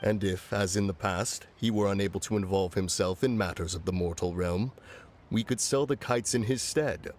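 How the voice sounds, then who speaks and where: A man's voice: fairly low pitch, moderate pace, a little hiss or hum, natural voice. A man voicing a solemn ancient immortal in a video game, speaking in a formal, archaic, stately manner. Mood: wistful. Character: solemn ancient adeptus mourning an old friend. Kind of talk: video game character dialogue.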